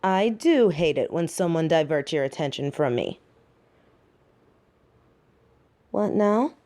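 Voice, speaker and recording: A woman playing a flirty, teasing catgirl thief in a roleplay audio drama, coy and seductive with playfully drawn-out words. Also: sits low, animated, mellow. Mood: possessive, teasing.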